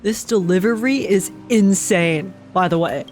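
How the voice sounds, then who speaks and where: A woman streamer giving casual, excitable, gushing live reactions to a game. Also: mid-range voice, a little slow. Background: faint game music.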